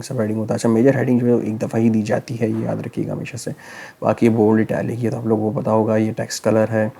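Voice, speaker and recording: A man with a sore, hoarse throat, walking through a computer tutorial step by step in a relaxed, explaining way, often pausing to check in. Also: lowish voice. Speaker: tech tutorial host. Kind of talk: screen-recorded tutorial narration.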